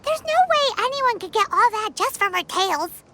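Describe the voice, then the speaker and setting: A woman voicing a tiny, excitable female floating companion who chatters, exclaims a lot and calls herself by name. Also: very high-pitched, normal speed, slight background noise, exaggerated voice. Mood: excited. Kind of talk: video game voice acting.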